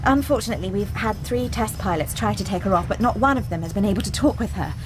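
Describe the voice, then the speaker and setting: A woman's voice: medium pitch, animated, quick pace, music in the background, lightly acted, rough recording. A woman playing an earnest, insistent British aircraft scientist with a British accent in a radio drama. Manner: earnest, articulate, persuasive.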